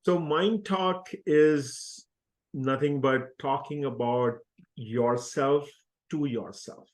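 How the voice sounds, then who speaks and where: A man speaking as an interview guest, explaining self-help ideas in an earnest, deliberate way and repeating key phrases. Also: mid-range voice.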